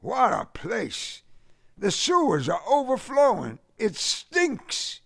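Guy doing a brash southern uncle from a storybook, high voice swinging all over, loud griping and bragging. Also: very animated, slow, gravelly, no room sound, clean studio sound, heavily acted. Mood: distressed, irritated. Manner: loud, complaining, folksy, boastful. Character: brash hot-tempered Southern uncle, former boxer and tall-tale teller. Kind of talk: audiobook character voice in a period story.

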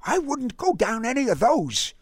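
Excitable, bossy young storybook boy, played by a male narrator, very high and urgent. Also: normal speed, slightly rough, no echo, clean studio sound, exaggerated voice. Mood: casual. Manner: urgent, exclamatory.

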